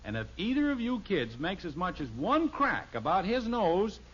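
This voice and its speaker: Exasperated, blustering sitcom dad. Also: male voice, sits high, wildly swooping pitch, normal speed, husky, full character voice. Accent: American. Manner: lecturing, commanding, speechifying. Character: exasperated, strict, blustering suburban father, middle-aged. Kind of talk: 1950s radio sitcom acting. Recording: muffled vintage radio recording.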